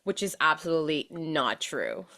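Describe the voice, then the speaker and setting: A young woman from Venezuela, a podcast manager, chatting as a guest in an animated way with fillers and restarts. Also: low voice, moderate pace. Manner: animated, laughs often, many fillers and restarts. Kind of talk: casual interview chat.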